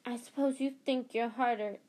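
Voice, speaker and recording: A woman's voice: fairly high pitch, fairly even intonation, normal speed, pitched above the performer's own voice, natural voice. A woman doing a stern scolding teacher. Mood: angry. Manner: sharp and scolding. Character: stern strict older female teacher. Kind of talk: reading a children's novel aloud.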